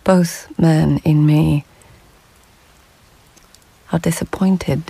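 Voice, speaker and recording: An actress playing a reflective court lady of old Japan, narrating in a measured, poetic, breathy near-whisper for a radio drama. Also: deep voice, steady tone, dark tone, dry recording, full character voice, poor audio. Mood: quiet, contemplative. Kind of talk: intimate audio drama narration.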